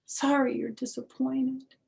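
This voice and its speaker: A woman's voice: high-pitched, little pitch movement, measured pace, gravelly, a little mellow, pitched above the performer's own voice, exaggerated voice. A woman reading a storybook as a nervous, evasive young schoolgirl, hesitant and stammering. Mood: sorry.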